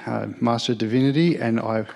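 A man's voice: mid-range voice, a little fast, gravelly, noisy background. A man in his thirties, speaking hesitantly with many fillers as he shares his personal story on a church panel.

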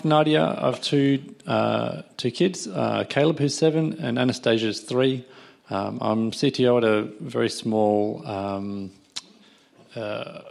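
A man in his thirties with a gravelly voice at a medium pitch. He introduces himself on a church panel in a light, joking way. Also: darkish tone. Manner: light-hearted, jokey. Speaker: panel speaker.

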